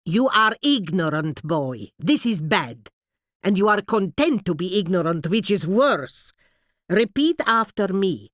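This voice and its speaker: An actress playing a stern, severe tutor in an audiobook drama, lecturing in a clipped, deliberate way. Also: female voice, medium pitch, sing-song, dry recording, studio quality. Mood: scornful. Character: stern, severe female tutor scolding a pupil.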